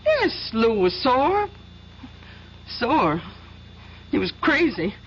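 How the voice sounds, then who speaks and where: Jumpy defensive wife, very up and down voice, old radio drama, muffled with slight hiss. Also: female voice, a little high, big pitch swings, drawn-out words, full character voice. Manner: hesitant, chatty, defensive. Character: anxious, defensive wife of a jealous salesman. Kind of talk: radio mystery drama acting. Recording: dull, faintly noisy old radio recording.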